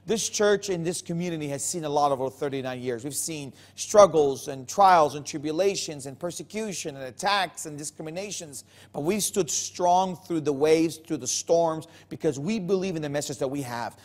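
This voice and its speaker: Higher-pitched man, earnest and emphatic. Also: normal speed, few pauses. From Jerusalem and Bethlehem region. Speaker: pastor being interviewed. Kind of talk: earnest interview testimony.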